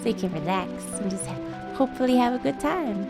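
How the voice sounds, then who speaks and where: A woman's voice: fairly high pitch, animated, a little fast. A giggly, chatty woman chatting casually and reacting as she streams a video game. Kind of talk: casual livestream chat and gameplay reactions. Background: game music.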